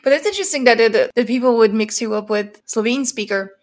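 A woman's voice: fairly high pitch, a little fast. A woman interviewing, asking questions with hesitant restarts. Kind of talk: casual interview.

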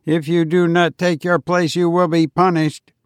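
A man voicing a calm, strict robot teacher who speaks flatly and without feeling. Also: on the high side, smooth, dry recording, studio quality, pitched above the performer's own voice, lightly acted. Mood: stern. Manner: flat, unfeeling, measured.

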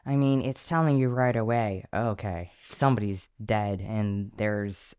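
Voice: mid-range voice, steady tone, a little slow, darkish tone, clean tone, no room sound, muffled recording. A podcast co-host giving hesitant, thoughtful replies in a casual film discussion.

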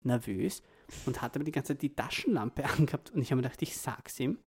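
Brief: Viennese man, podcast co-host, gravelly low voice with fry, rambling anecdotes. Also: lively intonation, fast, darkish tone. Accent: Austrian, Viennese. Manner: rambling storyteller, laughs mid-sentence. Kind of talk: casual chat.

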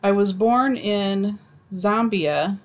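A woman reading stories aloud in a casual chat, with wry deadpan asides and some swearing. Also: medium pitch, extremely slow, a little hiss or hum.

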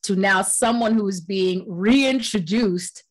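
A woman's voice: medium pitch, steady tone, unhurried. A woman hosting a casual interview, friendly and affirming, with slangy asides and plenty of "yeah"s. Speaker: interview host.

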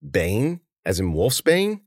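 Guy reading as a wary thirtyish woman in a story, blunt and sarcastic, lively pitch, dry sound. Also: takes it slowly, husky, no room sound, full character voice. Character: guarded, blunt woman in her early thirties.

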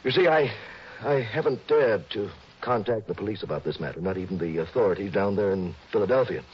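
A nervous, stammering man of about fifty in an old radio play. His voice is low but rises and falls anxiously, and the muffled broadcast carries a faint hiss. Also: expressive, brisk, slightly rough. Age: 50s. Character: worried, stammering wealthy middle-aged man. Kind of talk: 1960s radio drama acting.

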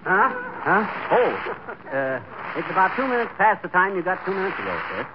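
A blustery, folksy wisecracker of a husband, pitched high and swinging widely as he talks. It is old radio comedy on a noisy 1940s recording. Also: lively intonation, fairly quick, lo-fi sound. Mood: wisecracking, blustery. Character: blustering, bumbling, wisecracking husband, easily flustered.

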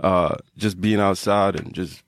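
A man's voice: sits low, fairly even intonation, a little slow, smooth. A man from Trinidad, a fitness coach and podcast guest, telling his own story in a casual, reflective, conversational way.

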